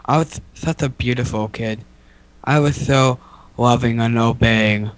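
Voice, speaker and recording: A young man with a medium-pitched voice co-hosts a casual podcast. He rambles through unscripted chat in a goofy manner, talking himself up with mock boastfulness. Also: unhurried, no room sound, low-quality recording. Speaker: podcast co-host.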